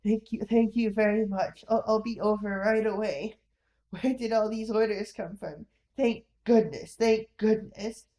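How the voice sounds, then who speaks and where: A woman's voice: medium pitch, steady tone, a little slow, warm tone, natural voice. A woman voicing an embittered middle-aged man, a one-legged war veteran, who speaks in a weary, downhearted and plain way. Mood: relieved, grateful.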